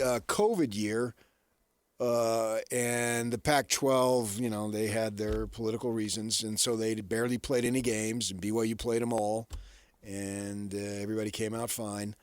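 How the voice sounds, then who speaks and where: A man's voice: mid-range voice, measured pace, dry recording. An American man co-hosting sports radio, challenging his partner with rhetorical questions in unscripted debate.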